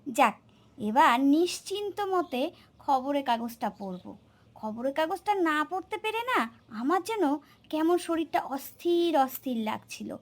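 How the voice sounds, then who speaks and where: A woman voicing a fussy, forgetful father in a cartoon, with a fretful, complaining manner. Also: high-pitched. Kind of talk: cartoon voice acting.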